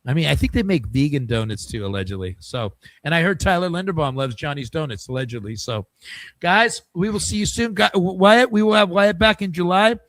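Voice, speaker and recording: Upbeat, slightly high-voiced podcast host. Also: male voice, a bit bright, dry recording. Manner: chatty and joking, leans on fillers like "I mean" and "allegedly". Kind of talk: casual podcast chat.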